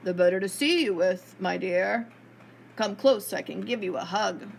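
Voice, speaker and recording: A sly storybook wolf acted out by a woman reading aloud speaks with sugary, drawn-out politeness. He is hungry and menacing underneath. There is clear background noise on the recording. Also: medium pitch, voice pushed down, close to an everyday voice. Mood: coaxing.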